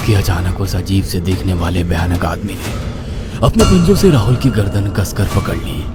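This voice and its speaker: A man narrating a horror cartoon story in a dramatic, theatrical way. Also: low-pitched. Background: background music.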